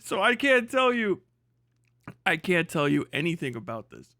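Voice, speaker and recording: High-pitched, bright-voiced man streaming. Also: sing-song, normal speed, dry recording, clean studio sound. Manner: profane, exclamatory. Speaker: live streamer. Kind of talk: casual reaction chat.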